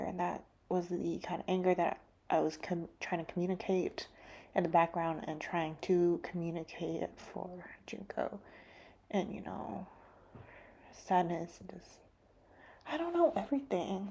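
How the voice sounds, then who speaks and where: A woman painter giving a soft, halting, reflective talk about her painting, full of fillers and drifting into whispers. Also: on the low side, creaky, slightly rough.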